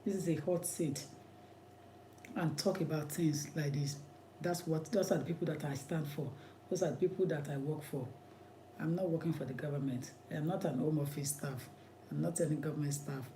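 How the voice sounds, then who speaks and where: A man's voice: on the high side, moderate pace, mellow, slight background noise, low-quality recording. A man with a Nigerian accent, an immigration lawyer giving impassioned commentary in an emphatic, repetitive, preacherly way.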